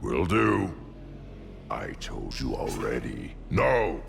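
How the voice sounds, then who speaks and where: Very deep, gravelly alien brute. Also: male voice, huge pitch swings, slow, in a large hall, over music, exaggerated voice. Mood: gruff, stubborn. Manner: terse, blunt. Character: gruff, blunt young alien brute guarding a door. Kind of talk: video game acting.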